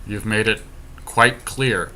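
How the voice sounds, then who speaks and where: A man's voice: on the low side, slow, sharp tone, slight background noise. A man co-hosting a podcast, giving casual play-by-play of a card game with dry, teasing banter. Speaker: podcast co-host.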